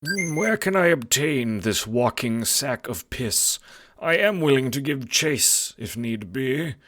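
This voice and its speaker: A man's voice: mid-range voice, animated, slow pace, a bit bright. A man playing an earnest, doomed castaway oddball in an audio drama, speaking in stilted, grandiose phrases. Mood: earnest, solemn.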